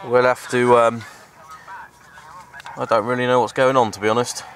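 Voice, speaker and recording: A man giving casual, halting, unscripted commentary for an outdoor hobby vlog, recorded on a handheld camera. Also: mid-range voice, flat delivery, crowd noise. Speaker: vlogger, CB radio hobbyist. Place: open hillside under trees, outdoors. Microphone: handheld camera microphone.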